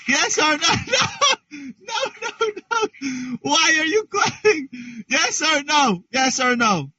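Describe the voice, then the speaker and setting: A man teaching an online English class to young learners, speaking slowly and with exaggerated, encouraging prompts over a video call. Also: very high-pitched, very animated, pushed and bright, dry recording, dull audio.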